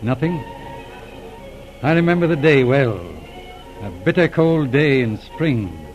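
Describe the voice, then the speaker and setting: A shrewd, courteous police inspector in an old-time radio drama. His voice is mid-pitched and swings expressively as he probes in a measured, calm way. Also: soft background music. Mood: calmly probing. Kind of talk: 1940s radio drama acting.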